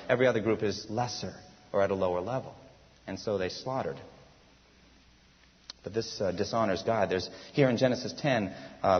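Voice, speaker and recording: A man preaching a sermon as pastor, earnest and emphatic, often asking his listeners rhetorical questions. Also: on the low side. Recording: dull lo-fi church hall recording.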